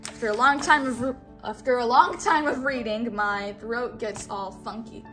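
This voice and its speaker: A woman's voice: fairly high pitch, moderate pace, sharp tone, faint music behind. A woman hosting a children's read-along, reading a storybook aloud in a teacherly way and inviting listeners to repeat words.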